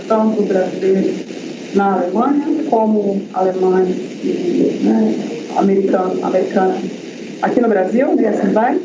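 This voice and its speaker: A researcher presenting his project at an academic seminar, talking in a hesitant way with many fillers and restarts. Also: sits high. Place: university lecture room. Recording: echoey lecture hall, dull lo-fi sound, audible noise.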